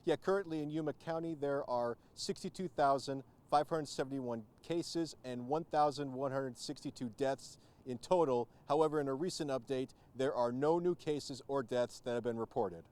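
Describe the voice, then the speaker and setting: A man's voice: mid-range voice, moderate pace, darkish tone, clear, resonant tone. A young man reading a scripted local news report as a reporter. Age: young adult.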